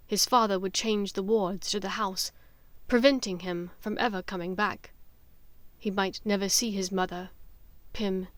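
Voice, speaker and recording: A woman's voice: mid-range voice, no echo. A woman reading scripted fiction as a dramatic audiobook narrator.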